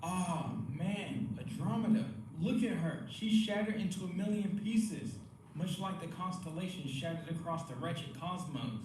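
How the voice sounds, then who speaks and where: A man playing a laid-back young stoner roommate in an audio play, mellow and theatrically wistful. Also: high-pitched, measured pace. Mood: mournful. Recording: echoey, distant, faintly noisy livestream playback.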